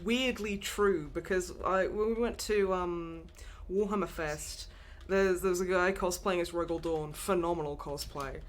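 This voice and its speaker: A woman co-hosting a casual livestream, chatting in an animated, jokey way. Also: lowish voice, measured pace. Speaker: livestream co-host and voice actor.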